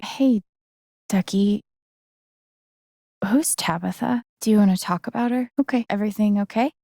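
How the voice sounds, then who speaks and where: A woman playing a sincere, gently wry young game heroine, with an American accent and an understated, conversational delivery. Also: mid-range voice, no room sound, studio quality, natural voice. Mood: gentle, concerned. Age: young adult. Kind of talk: naturalistic video game voice acting.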